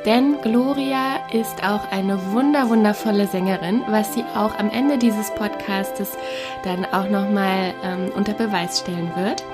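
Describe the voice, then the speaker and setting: Female podcast host, enthusiastic, mid-pitched, casual, music playing underneath. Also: steady tone, measured pace. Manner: enthusiastic, many fillers. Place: cozy living room.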